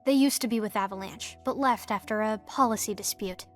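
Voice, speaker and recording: A woman voicing a brash, excitable teenage girl in a video game, with a theatrical, cheeky delivery. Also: mid-range voice, fairly quick, faint music behind, close to an everyday voice. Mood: defiant. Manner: theatrical, cheeky, self-scolding. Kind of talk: video game cutscene voice acting.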